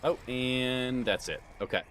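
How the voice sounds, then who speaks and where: A man's voice: mid-range voice, a little slow, fairly bright tone. A man chatting casually with viewers as he plays a game live, greeting them by name and calling them sir. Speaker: livestream gamer. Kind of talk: casual live-stream chat while gaming. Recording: clear background noise.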